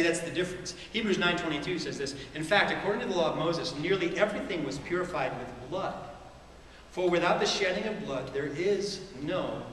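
A middle-aged man preaching from a church stage, talking conversationally and tossing rhetorical questions to the room. His voice sits a little high for a man. It is caught from far off, with a long, roomy hall echo and a faint hiss behind it. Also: a bit bright. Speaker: pastor. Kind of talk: sermon. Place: church stage with a large screen. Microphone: far camera in the hall.

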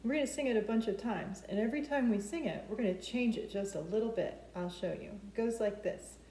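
A woman's voice: medium pitch, brisk, warm tone. A woman in her forties hosting a storytime for preschoolers, explaining things simply and asking the children questions. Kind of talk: speaking to preschoolers, instructional. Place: living room with open kitchen at home. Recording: roomy echo, noticeable background noise.

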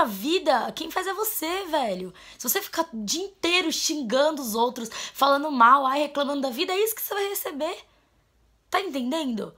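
A young woman, talking into a camera in a small bedroom. Her voice sits a bit high, and she is blunt and emphatic. She drops low, then turns loud to drive a point home. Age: 20s. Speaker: vlogger. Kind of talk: heartfelt vent to camera. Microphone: camera mic at close framing.

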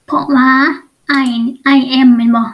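A woman's voice: takes it slowly, dull audio. A woman with a Lao accent teaching English in an online lesson, explaining step by step and often asking check questions.

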